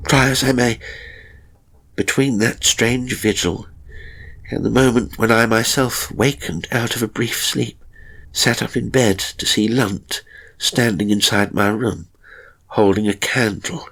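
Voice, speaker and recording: A man narrating a first-person horror story dramatically, in a measured, suspenseful way with deliberate pauses. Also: medium pitch, fairly even intonation, a bit bright, low-quality recording. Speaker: audiobook narrator.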